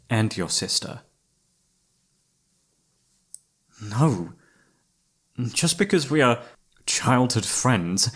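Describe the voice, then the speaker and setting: A man playing a devoted young knight in a romantic fantasy ASMR roleplay, speaking in a courtly yet playfully teasing manner. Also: fairly low pitch. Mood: stern, dutiful. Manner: formal, courtly, playfully teasing, soft-spoken sighs.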